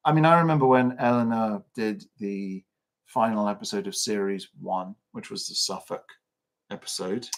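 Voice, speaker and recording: A man's voice: fairly low pitch, moderate pace. An English man co-hosting a podcast livestream, chatting casually and reflectively, often laughing. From England. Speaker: podcast co-host.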